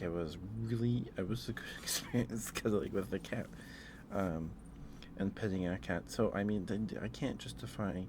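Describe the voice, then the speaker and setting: Male ASMR streamer, low voice, halting and rambling casual chat, clear noise in the recording. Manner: hesitant, rambling, often restarting mid-thought; chatting back to live viewers. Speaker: livestream host.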